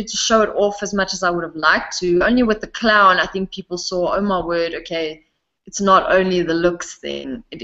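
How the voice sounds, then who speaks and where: A young woman with a South African accent chats easily in an interview, breaking into small laughs as she talks. Her voice sits at a middle pitch, and the recording sounds a little dull and lo-fi. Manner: giggly, relaxed, often laughs between sentences. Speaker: interviewee, singer. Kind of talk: casual interview chat.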